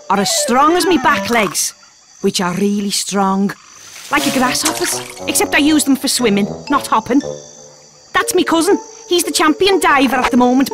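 Woman as an excitable high-pitched little water beetle. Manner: excitable, chatty, addressing the viewer. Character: eager, boastful young water beetle. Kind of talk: children's cartoon character narration.

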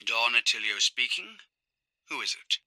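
A man's voice: mid-range voice, normal speed, sharp tone, no echo. A man playing a concerned, urgent village priest in a dramatic video game.

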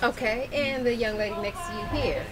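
A woman with a fairly high voice works as an interviewer, chatting in an upbeat way and repeating phrases for emphasis. She is recorded in a big indoor show hall, a little way from the camera. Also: steady tone, brisk, a crowd in the background. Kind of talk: upbeat on-location interview chat.

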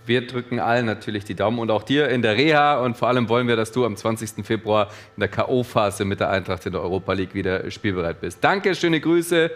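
A man hosting a studio interview show, upbeat and lively as he presents and asks questions, on a headset mic. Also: on the low side, quick pace, hardly any pauses. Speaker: show host.

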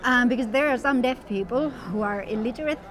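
A middle-aged woman from Finland, interpreting a guest's words in first person with steady, slightly formal explanation. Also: on the high side, brisk. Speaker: sign language interpreter voicing the guest. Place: outdoor courtyard at a busy event. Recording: outdoor event with crowd noise. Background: crowd chatter.

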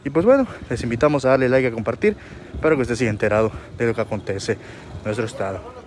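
A man's voice: medium pitch, fast. A man reporting live from a street intersection, talking informally with many filler words. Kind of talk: live on-scene news report. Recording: faint outdoor street noise. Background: street and traffic sounds.

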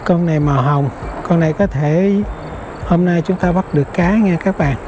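A man's voice: on the high side, moderate pace, noisy background. A man with a Southern Vietnamese accent chats casually to viewers as a fishing vlog host, often addressing them directly. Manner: addresses viewers often, simple step-by-step explaining.

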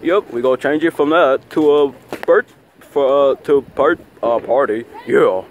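A man improvising a plush toy skit outdoors, talking in a rambling, repetitive, halting way into a handheld phone. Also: medium pitch. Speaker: puppeteer of plush toys. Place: outdoors on grass at night. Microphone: handheld phone camera close by. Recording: noisy outdoor phone video recording.

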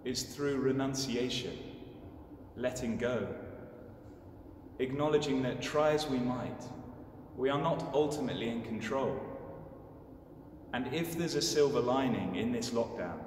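A young man delivering a scripted homily in a measured, solemn manner. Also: medium pitch, darkish tone. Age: young adult. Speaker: clergyman giving a homily. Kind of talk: scripted sermon. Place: inside a large stone church. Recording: distant, echoing church, clearly noisy.

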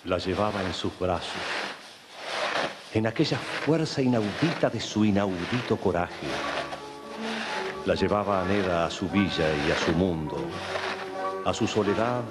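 Very deep, solemn Argentine male narrator. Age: middle-aged. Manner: solemn, dramatic, measured phrasing. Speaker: radio drama narrator. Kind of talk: scripted narration, radio soap. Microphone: desk microphone, close. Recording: archive studio recording under loud music.

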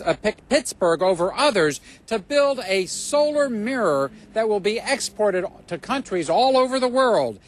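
A middle-aged man reporting live as a TV news reporter, in a measured newscaster delivery. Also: high-pitched, a little hiss or hum.

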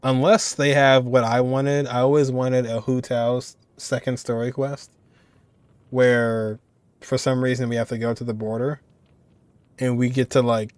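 A young man hosts a casual game reaction, thinking aloud in an informal, musing way. His voice is mid-pitched and recorded dry, with no room sound. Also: little pitch movement, a little slow, clear, resonant tone, no echo. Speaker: reaction video host.

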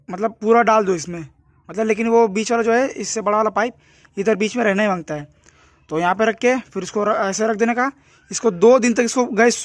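A young adult man chatting casually and informally to his viewers, as a vlogger talking into a phone. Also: high voice. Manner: informal, addresses viewers as friends. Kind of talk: casual vlog chat to camera. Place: in and around a home, indoors and outdoors. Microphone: phone at arm's length. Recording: noisy handheld phone recording.

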